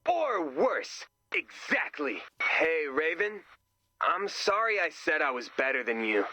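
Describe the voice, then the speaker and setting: Excitable goofy guy, bright strained voice. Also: fairly high pitch, slow, no room sound, full character voice. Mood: self-justifying. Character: excitable, self-justifying goofy man, eager amateur slasher. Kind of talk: scripted video game voice acting.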